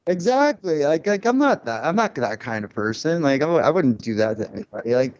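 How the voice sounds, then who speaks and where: A man's voice: a little high, sing-song, fairly quick, a little mellow, highs cut off. A man of Cuban heritage, a record label founder, talking candidly in a casual podcast interview, reflective and full of restarts. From Cuban family, grew up in a loud-talking city.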